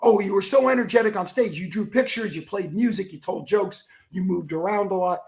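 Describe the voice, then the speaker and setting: A man with a froggy, rough voice telling stories as an energetic interview guest, acting out the quotes. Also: sits high, brisk. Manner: energetic storyteller who acts out quotes. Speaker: guest, keynote speaker and author. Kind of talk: animated interview talk.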